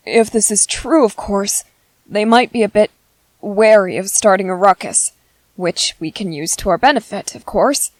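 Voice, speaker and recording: A woman voicing a gentle, shrewd teenage boy soldier in an intimate ASMR roleplay, speaking thoughtfully and hesitantly, as if quietly plotting. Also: medium pitch, no room sound, close to an everyday voice. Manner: hesitant, thoughtful, halting phrasing with soft ums. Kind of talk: ASMR character roleplay, intimate one-sided dialogue.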